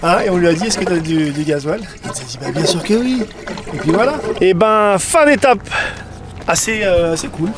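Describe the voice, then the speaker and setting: High-voiced man over loud engine noise. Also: animated, moderate pace. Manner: chatty, jokey, loose and rambling. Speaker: vlogger driving a desert rally car. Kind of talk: casual joking commentary. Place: in a car on a desert rally, outdoors.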